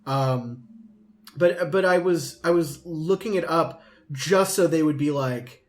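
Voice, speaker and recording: Higher-voiced young man chatting. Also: takes it slowly. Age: late 20s. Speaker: podcast host. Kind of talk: casual chat, telling anecdotes.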